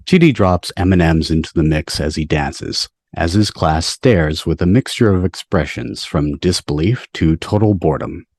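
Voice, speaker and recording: A man's voice: low-pitched, expressive, normal speed, few pauses, darkish tone. A man reading scripted stage directions at a table read, recorded over a video call. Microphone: video call microphone.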